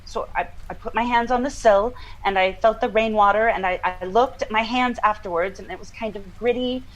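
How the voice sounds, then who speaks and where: An actress playing a troubled woman who recounts an eerie dream, halting and searching for her words in a naturalistic audio drama style. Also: mid-range voice, highs cut off, natural voice. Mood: hesitant, absorbed.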